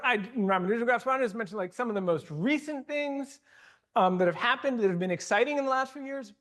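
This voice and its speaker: A man's voice: high-pitched, a bit bright. A man giving an academic lecture in a hall, thinking aloud and correcting himself as he goes.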